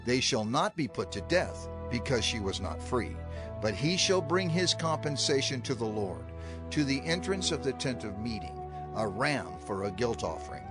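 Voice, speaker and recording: A man's voice: mid-range voice, expressive, moderate pace. A man gives a solemn, emphatic, declamatory reading in a dramatized scripture narration. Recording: music under the voice. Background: music.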